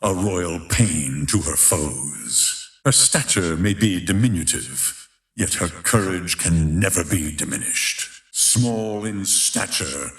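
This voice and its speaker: Grandiose deep-voiced fighting-game announcer, echoing. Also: male voice, animated, full character voice. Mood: grand, dramatic. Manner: theatrical, drawn-out, emphatic. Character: grandiose, menacing male fighting-tournament announcer of epic legend. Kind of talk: dramatic scripted fighting-game narration.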